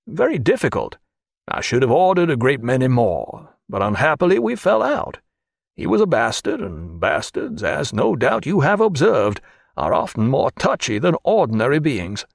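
A man voicing a genial, self-assured wealthy gentleman in an audiobook, urbane, expansive and courteous. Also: medium pitch, creaky, dry recording, clean studio sound, heavily acted. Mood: urbane, condescending. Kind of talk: audiobook character voice.

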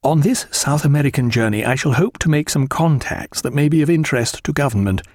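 A man's voice: a little high, brisk, a little mellow, dry recording, studio quality, natural voice. A man voicing a thoughtful, discreet ship's doctor in an audiobook, speaking with careful precision. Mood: confiding.

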